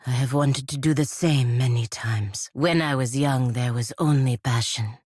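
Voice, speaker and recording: An actress playing a proud, duty-bound godlike knight in a video game, speaking formally and gravely. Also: female voice, a bass voice, unhurried, a little mellow, dry recording, clean studio sound, exaggerated voice. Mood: earnest, reflective.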